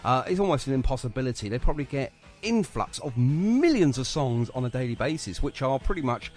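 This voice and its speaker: A man hosting a casual music radio interview, chummy and quick to agree with his guest. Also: medium pitch, big pitch swings, darkish tone. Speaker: radio show host, interviewer.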